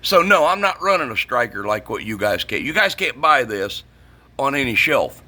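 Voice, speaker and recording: A middle-aged American man speaks in a bright, forceful voice at an ordinary male pitch. He rants in a casual, opinionated way, blunt and profane, hammering his points by saying them again. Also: very animated, moderate pace. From northern United States. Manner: blunt, profane, emphatic, repeats himself. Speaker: CB radio shop vlogger.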